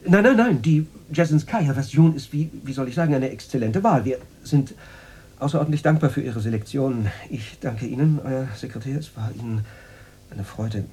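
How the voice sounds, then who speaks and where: A man's voice: medium pitch, normal speed, mellow, slightly rough, lightly acted. An actor in a radio drama playing a nervous, servile Moscow radio director, flustered and stammering as he repeats himself. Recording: noisy radio play recording with hum.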